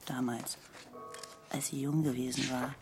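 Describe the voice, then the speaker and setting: An actress voicing a pensive, introspective woman narrator recalling her past in a measured, hushed literary radio play monologue. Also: rumbling low, little pitch movement. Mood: pensive, remembering. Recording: music under the voice.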